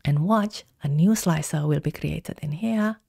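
A woman giving calm, step-by-step instructions in a screen-recorded software tutorial. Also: sits low, gravelly, slightly rough, no room sound, studio quality. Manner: calm step-by-step instructions, slow deliberate pacing.

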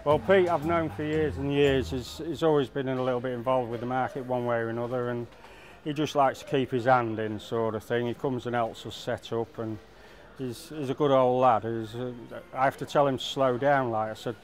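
A middle-aged man, a market stallholder talking casually and haltingly in an interview. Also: mid-range voice, fairly even intonation. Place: busy indoor market stall. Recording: music behind interview.

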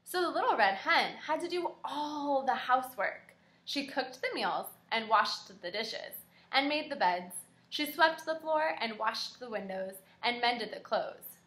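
A young woman with a very bright, fairly high voice leads a read-aloud lesson for small children. She is animated and encouraging, often prompting her listeners with questions. The room around her sounds echoey. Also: a little slow. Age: young adult. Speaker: kindergarten teacher.

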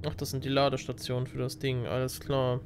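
A man's voice: mid-range voice, completely flat delivery, quick pace, background noise. A German man giving casual, teasing gameplay commentary, often talking to the character on screen. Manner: teasing, talks to the game character. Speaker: let's player.

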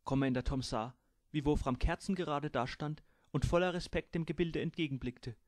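A man's voice: fairly high pitch, normal speed, dry recording, studio quality. A man reading his own humorous audiobook aloud, narrating and giving each crew member a voice.

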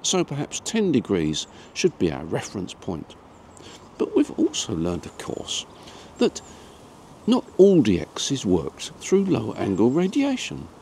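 A British man in his seventies presenting an informal explanatory lesson to camera in a measured, didactic way. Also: medium pitch, wildly swooping pitch, creaky, a little mellow, a little hiss or hum. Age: 70s. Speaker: amateur radio presenter. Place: small home studio room.

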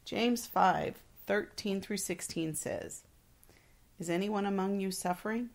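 A woman's voice: mid-range voice, a little slow. A woman co-hosting a devotional, reading reflections and scripture aloud. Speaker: devotional co-host.

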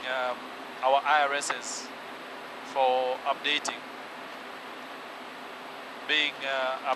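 A man, an airline pilot, patiently explaining cockpit instruments in flight. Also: medium pitch. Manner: technical, patient, with fillers. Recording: loud engine and cockpit noise.

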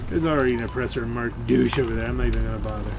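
A man's voice: mid-range voice, fairly even intonation, very fast, over loud music. A man giving casual gameplay commentary, rambling and grumbling as he thinks aloud. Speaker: game streamer and video creator. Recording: clear game engine noise behind. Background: video game car and ambient sounds.

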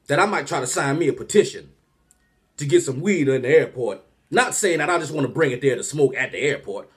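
A man in his thirties doing a comedic rant to camera, animated and exasperated, firing rhetorical questions from a small room at home. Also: a little high.

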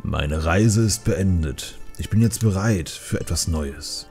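A man playing a calm, patient older panda brewmaster uncle in a dramatised fantasy audiobook, speaking gently. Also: deep voice, slow pace, faint music behind. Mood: patient, soothing.

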